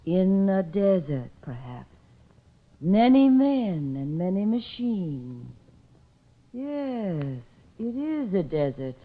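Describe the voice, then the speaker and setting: A woman's voice: medium pitch, very animated. Tinny old radio sound, haughty female mystic, portentous, sweeping intonation, theatrical. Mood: mystical, intoning. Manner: grand, portentous, slow-spoken. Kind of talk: old-time radio drama acting. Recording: old radio recording, narrow tinny band.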